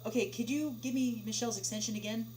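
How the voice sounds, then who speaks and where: A woman's voice: fairly high pitch, fast, a little mellow, voice pushed up, close to an everyday voice. A woman voicing a polite male pony call-center agent with an apologetic customer-service tone. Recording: echoey room with noisy hum.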